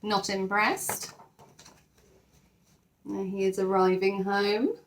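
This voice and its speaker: A woman teacher sharing a children's picture book, expressive and enthusiastic, with chatty asides. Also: mid-range voice, slow pace, slight background noise. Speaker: teacher reading to pupils. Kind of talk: children's storybook read-aloud with chatty asides.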